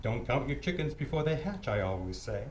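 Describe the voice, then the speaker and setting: A man playing a gruff, sarcastic, teasing homicide detective in an old-time radio drama. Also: mid-range voice, sing-song, a little fast, lightly acted. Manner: blustery, sarcastic banter. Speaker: amateur radio club performer. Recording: roomy echo with faint ambient noise.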